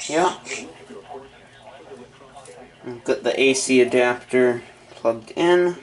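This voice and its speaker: A man casually narrating an unboxing and setup in halting, short muttered phrases. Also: mid-range voice. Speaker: hobbyist video maker.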